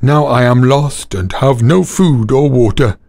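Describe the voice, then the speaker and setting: A man's voice: medium pitch, unhurried, a little mellow, no echo, natural voice. A man doing a tired old hound. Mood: weary. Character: old, weary male hunting dog.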